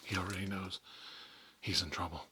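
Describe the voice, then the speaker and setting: A man playing a stern, pompous school principal in a whispered ASMR role play, scolding pupils in halting, drawn-out phrases. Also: deep voice, steady tone, background noise. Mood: stern, accusing. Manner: pompous, halting, drawn-out, repeats words for emphasis.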